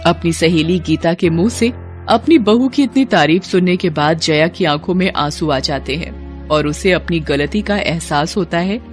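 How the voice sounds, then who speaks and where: A woman's voice: fairly low pitch, moderate pace, faint music behind. A woman working as narrator, reading a scripted Hindi moral story.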